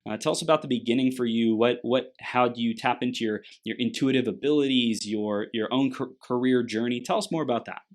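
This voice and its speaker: A man's voice: medium pitch, fairly quick, hardly any pauses. A man hosting an interview podcast, enthusiastic and affirming as he asks his guest questions. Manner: enthusiastic, affirming, frequent backchannels. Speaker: podcast host.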